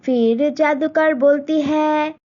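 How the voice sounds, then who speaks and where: A woman narrates a cartoon story in a plain, slightly halting way. Her voice is very high and her pace is slow. The recording is dull and lo-fi. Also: fairly even intonation, a little mellow.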